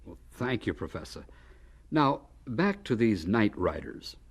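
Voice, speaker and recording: A curious, courteous narrator in a radio drama. He questions slowly and deliberately in a husky voice with lively intonation. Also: on the low side, exaggerated voice. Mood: curious, polite. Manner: polite, deliberate, probing. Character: polite, curious male narrator interviewing an old man. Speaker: narrator and interviewer.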